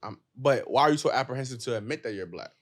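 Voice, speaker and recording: A man's voice: medium pitch, lively intonation, racing. A young man co-hosting a casual podcast who keeps interrupting to press his question. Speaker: podcast co-host.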